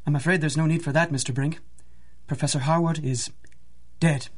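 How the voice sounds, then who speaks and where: A man playing a stiff, protective butler, formal, measured and deferential, in the style of 1930s radio drama. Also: fairly high pitch, steady tone, heavy background noise, natural voice. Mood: grave.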